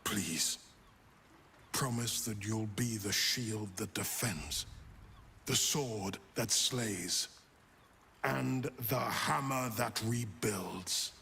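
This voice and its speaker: A worn-out noble in a game, low gravelly voice, slow and grave, bit sharp. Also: male voice, heavily acted. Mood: solemn, grateful. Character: weary rescued nobleman grateful to his savior, solemn. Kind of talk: dramatic game cutscene acting.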